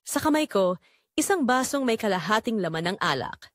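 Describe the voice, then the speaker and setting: A woman narrating as a guilt-ridden, secretly longing mother in a scripted audio drama, with a measured, confessional delivery. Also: mid-range voice, big pitch swings, fairly bright tone, no echo, clean studio sound. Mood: longing, resolved.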